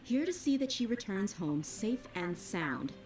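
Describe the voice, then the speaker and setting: A woman's voice: medium pitch, very animated, a little slow, darkish tone. An actress playing a tough, blunt, protective female bodyguard in an anime game, speaking firmly. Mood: firm, exasperated. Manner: firm, blunt. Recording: distant game audio under music.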